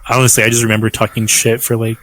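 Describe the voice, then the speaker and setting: Rambling young man, mid-pitched voice. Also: completely flat delivery, quick pace, a bit bright, a little hiss or hum. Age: young adult. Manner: rambling, heavy on "like" fillers, swears casually. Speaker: podcast co-host. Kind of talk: casual chat. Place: at home, remote call.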